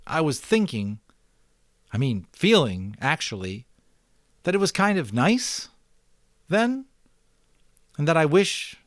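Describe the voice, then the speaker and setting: A man voicing an earnest, tentative young boy who speaks haltingly, searching for words, in a storybook reading. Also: medium pitch, dry recording, studio quality, voice pushed up.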